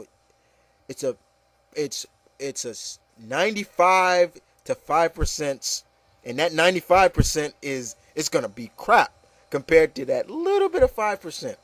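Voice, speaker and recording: A man's voice: a little high, fairly bright tone, no room sound. A man hosting a casual, opinionated discussion, speaking emphatically and repeating phrases to drive points home. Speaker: podcast host.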